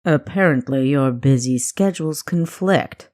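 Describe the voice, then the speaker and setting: A woman's voice: low-pitched, a little slow, a little mellow. A woman voicing an aloof, disdainful male dungeon keeper in an audiobook, formal, cold and dry.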